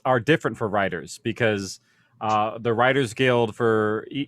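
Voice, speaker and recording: A man's voice: lowish voice, a bit bright, no room sound. A man speaking informally as a panelist, explaining how things work. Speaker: audio fiction panelist.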